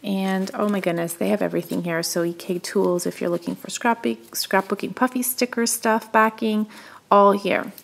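The woman you would hear narrating a casual shop-with-me video in a store aisle, chatty and keen, her voice crackling with fry. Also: mid-range voice, steady tone, moderate pace. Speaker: craft shopping vlogger.

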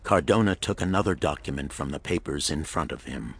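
A man with a deep voice narrates a pulp thriller. His delivery is dramatic, scripted storytelling, recorded close and dry with no room sound. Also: fairly quick, gravelly, a bit bright, slightly rough, no room sound. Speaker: audiobook narrator.

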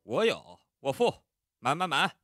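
A man's voice: fairly high pitch, wildly swooping pitch, measured pace, dry recording, studio quality, full character voice. A man playing a brash, carefree young spendthrift in an audio drama. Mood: cocky. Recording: loud background music.

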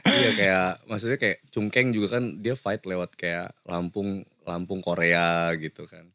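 A young man hosting a casual podcast chat, relaxed and rambling, on a desk mic in a small cafe studio. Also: low-pitched, a little slow, no echo. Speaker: podcast host. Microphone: desk mic with headphones.

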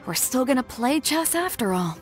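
A woman's voice: sits high, sing-song, moderate pace. An actress voicing a bold female ship captain who speaks bluntly and wryly. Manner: blunt, wry. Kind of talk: video game character dialogue. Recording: game audio over loud music.

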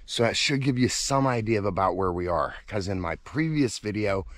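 A man's voice: fairly low pitch, lively intonation, normal speed. A man in his forties talking casually to his handheld camera outdoors. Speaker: vlogger and farm worker. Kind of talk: casual outdoor vlog narration. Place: outdoors on a farm and hillside. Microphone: handheld camera at arm's length. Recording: noisy handheld outdoor recording.

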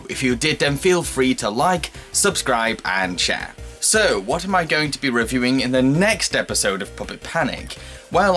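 A young man hosts a scripted review. His mid-pitched delivery is enthusiastic and emphatic, with light music behind him. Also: fairly bright tone. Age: young adult. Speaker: film reviewer, video host. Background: background music.

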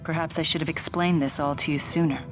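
A woman's voice: sits low, fairly even intonation, quick pace, natural voice. A woman voicing a stately, blunt young woman in a video game, formal, assured and matter-of-fact. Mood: solemn, certain. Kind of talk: English video game voice acting. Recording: game music behind the voice.

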